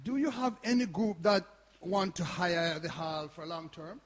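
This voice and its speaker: A man's voice: high voice, measured pace. An actor playing a frustrated, persistent older man at a community centre in a radio play. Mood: businesslike. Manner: sighing, challenging, insistent. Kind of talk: radio drama acting before an audience. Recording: reverberant hall recording.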